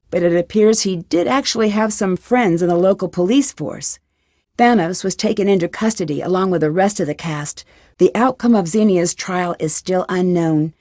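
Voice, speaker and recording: A woman's voice: mid-range voice, dark tone, dry recording, studio quality, natural voice. A woman voicing a young female detective who narrates a mystery game's story in a wry, conversational way.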